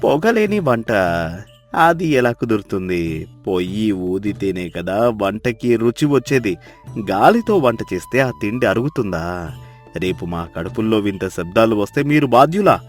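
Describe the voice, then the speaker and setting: A man voicing village characters in a children's story, with a deep, slow, scolding manner. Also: very animated, soft background music. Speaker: narrator voicing male characters.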